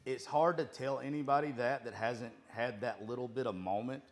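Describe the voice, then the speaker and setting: A man's voice: medium pitch, moderate pace. A man hosting a podcast, giving emphatic, profane advice in casual chat over drinks. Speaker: podcast host.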